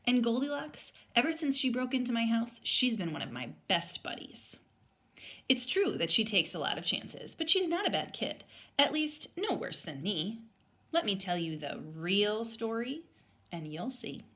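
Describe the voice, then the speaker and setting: A woman voicing an indignant young boy bear who narrates a children's storybook in an emphatic, expressive way. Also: a little high, moderate pace, voice pushed up. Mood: confiding, defensive. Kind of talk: animated children's story read-aloud.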